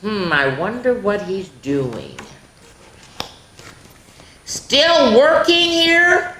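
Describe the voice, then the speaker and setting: A woman's voice: mid-range voice, huge pitch swings, drawn-out words, fairly bright tone. A woman hosting a children's story time, reading aloud dramatically and chatting directly to young listeners with lots of exclamations. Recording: roomy echo, faint background noise.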